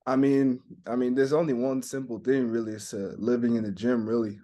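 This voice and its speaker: A young man from Montreal who grew up speaking French talks through interview answers. His delivery is flat and even, and he keeps restarting phrases and padding them with fillers. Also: mid-range voice. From Montreal, Canada. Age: young adult. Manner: halting, many "you know" fillers and restarts. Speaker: basketball draft prospect.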